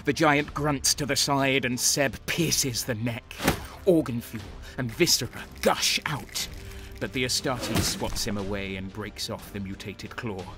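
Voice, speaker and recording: An actor playing a grim, battle-worn traitor soldier, narrating in terse, intense first person for an audio drama. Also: male voice, mid-range voice, a little slow, hardly any pauses, music in the background, close to an everyday voice. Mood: urgent.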